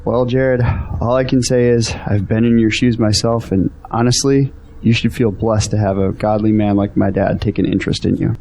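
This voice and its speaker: An actor playing a humble, thankful young grown son in an audio drama, earnest and polite. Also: male voice, lowish voice, fairly even intonation, moderate pace, few pauses, mellow, slight background noise, close to an everyday voice.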